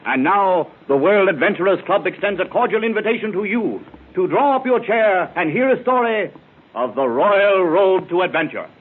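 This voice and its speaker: A man's voice: sits high, lively intonation, moderate pace, slightly rough. A man announcing a radio anthology show in a formal, ceremonious 1930s style. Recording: tinny old radio transfer with murmuring club crowd.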